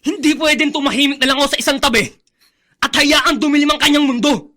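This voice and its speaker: Bright, determined young Filipino son. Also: male voice, very high voice, steady tone, quick pace. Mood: anguished. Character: determined, devoted young son, stubborn and emotional. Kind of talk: Filipino radio drama acting.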